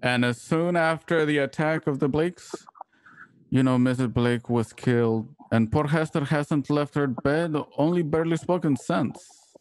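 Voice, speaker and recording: A man playing a gentle, earnest and concerned frontier husband in a radio drama. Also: a little high, measured pace, dry recording, close to an everyday voice. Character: tender, concerned frontier husband who mixes in Spanish endearments.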